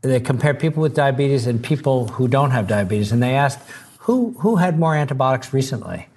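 A man's voice: medium pitch, lively intonation, fairly quick, darkish tone, breathy edge. Earnest man, stammering doctor. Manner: earnest, stammers on repeated words. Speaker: doctor, interview guest. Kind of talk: conversational interview.

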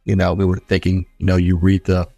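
A man's voice: deep voice, completely flat delivery, fairly quick, creaky, warm tone, dry recording, lo-fi sound. A man giving earnest, reflective answers as a guest in a conversational interview. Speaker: guest, songwriter and author.

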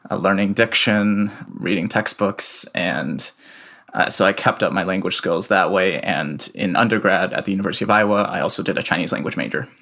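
A young man with a low voice talks through his work in a calm, measured way, pausing on fillers as he explains. He is from the United States and speaks from home in a remote interview. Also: creaky. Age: young adult. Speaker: guest, researcher.

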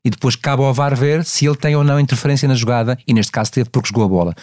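A man's voice: mid-range voice, racing, a little mellow, no room sound, clean studio sound. A man with a European Portuguese accent analysing refereeing decisions conversationally, didactic, posing and answering his own questions.

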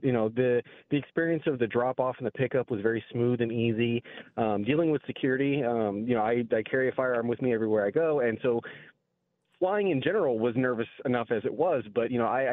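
A man's voice: mid-range voice, dry recording. A man with an American accent, a radio caller telling his experience casually and a little hesitantly over the phone. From Kansas, United States. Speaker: call-in listener. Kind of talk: casual personal account. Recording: thin, narrow phone line.

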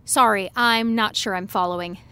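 A woman playing an awkward, evasive high school senior, hesitant and apologetic, in a fiction podcast. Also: moderate pace, bright, forceful tone, clean tone. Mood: awkward, defensive. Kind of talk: scripted audio drama dialogue.

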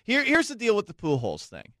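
A man's voice: sits high, huge pitch swings, fairly quick, fairly bright tone, no room sound, studio quality. A young man hosting sports talk radio in a chatty, self-mocking way.

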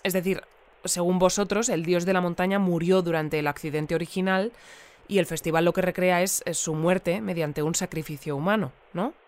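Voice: medium pitch, steady tone, normal speed, lightly acted. An actor playing an inquisitive radio news interviewer in an audio drama, asking questions in a naturalistic way. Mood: composed, probing.

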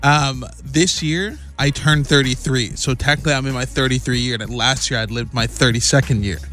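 Early-thirties male co-host, earnest and halting, light music bed. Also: medium pitch, fairly even intonation, a bit bright. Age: 30s. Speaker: radio co-host. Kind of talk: casual radio banter.

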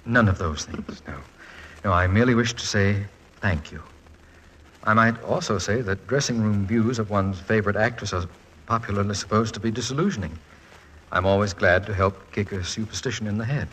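An actor playing a shy, bookish professor, formal and wordy, in 1940s radio style. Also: male voice, lowish voice, brisk, fairly bright tone, husky, low-quality recording. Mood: shy, earnest. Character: courteous, shy, bookish American male professor. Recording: vintage 1940s radio recording.

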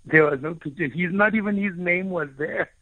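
A man's voice: sits high, moderate pace, no echo. A man from South Africa whose first language is Afrikaans, an author telling his own story earnestly, often restarting his phrases as he searches for words. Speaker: author and guest. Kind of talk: reflective personal storytelling. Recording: tinny phone-like line.

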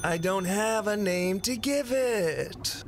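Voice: medium pitch, slow. An actor playing a weary, unimpressed bait shop clerk in a radio play, reluctant in manner, over noisy ambience. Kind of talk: naturalistic radio play acting.

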